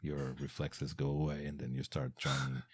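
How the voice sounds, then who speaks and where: A man's voice: rumbling low, little pitch movement, normal speed, slightly rough, no room sound. A man who is not a native English speaker, interviewing a guest and asking long, enthusiastic, rambling questions. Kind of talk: interview conversation.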